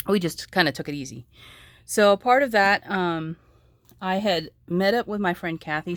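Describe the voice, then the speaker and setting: Hoarse, low-voiced woman crafter chatting. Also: no room sound, clean studio sound. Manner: chatty, rambling, many ums and restarts. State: hoarse with a sore throat. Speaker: craft vlogger. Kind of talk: casual chat showing crafts.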